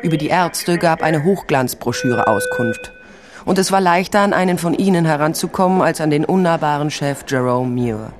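A woman's voice: low voice, moderate pace, a crowd in the background, close to an everyday voice. An actress playing a shrewd, wry investigator who narrates her case in a dry, matter-of-fact way in a radio crime drama.

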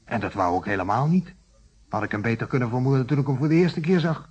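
A man's voice: mid-range voice, sing-song, quick pace, a little mellow, slightly rough. An actor playing a cornered, defensive man under questioning in a radio play, apologetic and forever justifying himself. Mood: self-justifying. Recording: muffled vintage radio play recording.

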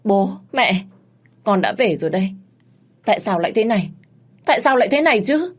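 A woman's voice: mid-range voice, lively intonation, brisk, husky, voice pushed up. A woman voicing a grief-stricken young man, the elder brother, crying out in anguish.

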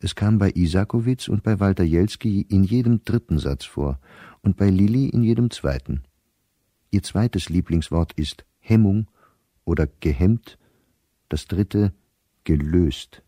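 An actor playing an embittered, middle-aged scholar reading his diary in a measured, dry, articulate radio drama delivery. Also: sits low, mellow, dry recording. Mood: reflective.

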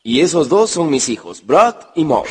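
Husky-voiced, animated grown son. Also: male voice, on the high side, moderate pace, heavily acted. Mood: playful. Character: adult son, a playful, caring dog owner. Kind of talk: radio drama acting.

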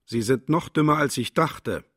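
An actor playing a cynical, condescending older mentor in a radio play, with a husky voice and a mocking, patronizing manner. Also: male voice, medium pitch, lively intonation, normal speed, a bit bright. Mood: scornful, exasperated.

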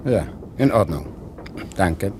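Blunt train conductor amid rumbling. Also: male voice, animated, takes it slowly. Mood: businesslike. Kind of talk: 1960s German radio play acting. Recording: radio play with loud train noise.

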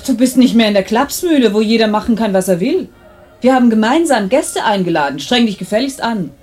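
Lively, cutting, exasperated wife. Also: female voice, on the high side, brisk, faint music behind. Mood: reproachful. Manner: cutting, reproachful. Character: exasperated, sarcastic wife scolding her withdrawn husband. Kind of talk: German radio play acting.

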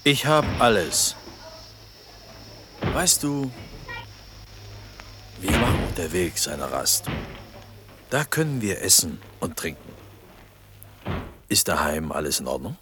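An actor playing a proud, plain-spoken, quietly firm working father in a German radio play. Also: low voice, sing-song, takes it slowly, long pauses, exaggerated voice. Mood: fatherly, calm. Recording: noisy radio play, animal and hoof sounds.

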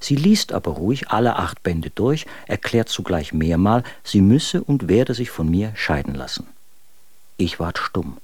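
Embittered husband for literary radio drama: low register, scornful, very dry recording. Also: male voice, big pitch swings, unhurried, darkish tone, breathy edge, dry recording, heavily acted. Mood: bitter. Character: bitter, contemptuous husband writing letters about his wife. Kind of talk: dramatic reading of old letters in a radio play.

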